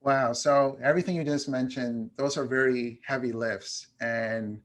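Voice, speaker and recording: A man from New York whose first language is Spanish, talking casually and reflectively as an interview guest, with frequent pauses. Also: medium pitch, fairly even intonation. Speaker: guest, education consultant.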